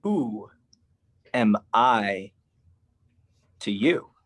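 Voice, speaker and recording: A man performing a withdrawn, lonely figure in a theatrical spoken-word monologue, with halting, deliberate phrasing. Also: fairly low pitch, lively intonation, a bit bright, heavily acted. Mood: brooding.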